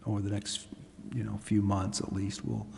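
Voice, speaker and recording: A man with a low, creaky voice gives careful official explanations in a very flat tone. The chamber adds long reverb around him. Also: normal speed. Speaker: city official answering council questions. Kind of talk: measured explanation at a public meeting. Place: indoor council chamber. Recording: echoey chamber with faint noise.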